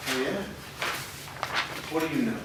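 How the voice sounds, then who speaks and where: A man's voice: on the high side, lively intonation, unhurried, very noisy. A man reading a smug, controlling stepfather who threatens his son. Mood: menacing. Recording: roomy echo, far from mic.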